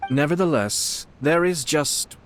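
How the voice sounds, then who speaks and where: An actor playing a composed young noble in a video game, speaking formally and courteously. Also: male voice, on the high side, animated, slow, slight background noise. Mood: calm. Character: young noble clan head, composed, diplomatic.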